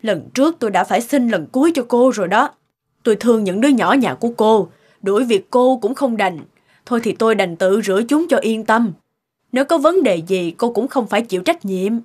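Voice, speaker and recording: A woman's voice: fairly high pitch, lively intonation, a little fast, a little mellow, slightly rough. A woman voicing a kind but exasperated middle-aged lady of the house in a cartoon moral tale, speaking earnestly. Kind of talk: animated moral-tale voice acting. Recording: music under the voice.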